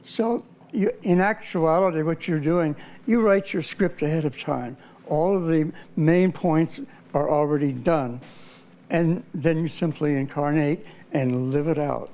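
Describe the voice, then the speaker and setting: A man talks as a guest, his voice slightly high with a warm, mellow tone. He explains his ideas patiently but hesitantly, with frequent fillers and restarts. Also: measured pace. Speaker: numerology guest. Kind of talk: explanatory interview talk.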